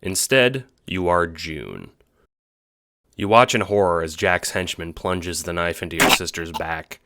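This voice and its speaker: Deep-voiced man narrating an audio drama. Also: lively intonation, a little slow, sharp tone. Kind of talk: dramatic scripted second-person narration.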